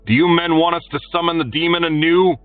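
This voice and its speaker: A man's voice: mid-range voice, unhurried, dry recording, recorded from a distance, phone-quality sound, soft background music, voice pushed up, close to an everyday voice. A man voicing a defiant young swordsman who cheerfully taunts his enemy.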